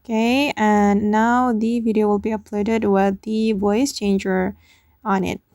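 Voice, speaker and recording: A woman hosting a casual step-by-step tutorial, narrating what she does on screen in a creaky voice. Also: mid-range voice, little pitch movement, unhurried, a little mellow, dry recording, clean studio sound. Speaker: tutorial host.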